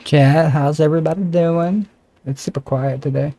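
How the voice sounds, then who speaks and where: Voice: medium pitch, fairly even intonation, normal speed, dark tone, dry recording. A game streamer chatting casually to viewers on a livestream.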